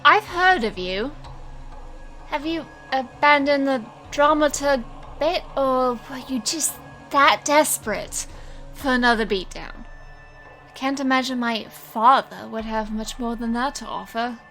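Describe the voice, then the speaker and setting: A woman's voice: sits high, a little slow, fairly bright tone, faint music behind. A woman playing a defiant, sardonic young stage magician, telling her story in a dry, controlled way in an audio drama. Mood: defiant, scornful.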